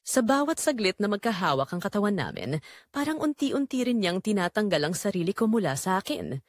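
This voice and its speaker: A brooding, guilt-torn narrator played by a woman confesses in a scripted audio drama. The delivery is slow and deliberate with widely swinging intonation, in a mid-pitched voice recorded very dry and close. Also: big pitch swings, no echo. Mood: torn, melancholy. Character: Jomar, brooding, guilt-torn man confessing a forbidden romance.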